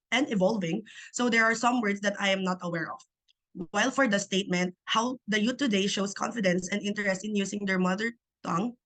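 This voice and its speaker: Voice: mid-range voice, a bit bright, poor audio. Earnest young Filipino student speaker. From Philippines. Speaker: student panelist. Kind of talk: reading a prepared answer.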